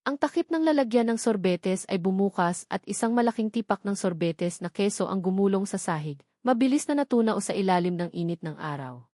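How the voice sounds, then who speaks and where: A woman narrating a scripted love story in a measured, descriptive storytelling manner. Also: mid-range voice, expressive, mellow, no room sound, studio quality.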